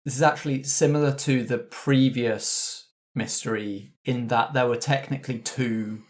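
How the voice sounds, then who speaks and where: A man's voice: medium pitch, fairly even intonation, moderate pace. Man talking through a tabletop game. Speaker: game master and podcast host. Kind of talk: casual behind-the-scenes discussion of a tabletop game.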